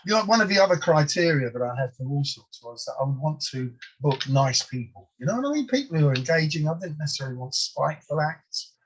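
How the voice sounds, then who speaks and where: A man's voice: fairly high pitch, lively intonation, quick pace, a little hiss or hum, low-quality recording. A man in his sixties with a London accent, a native Polish speaker, telling rambling, self-deprecating stories as a comedian being interviewed. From England. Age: 60s. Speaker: comedian and guest. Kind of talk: casual interview chat.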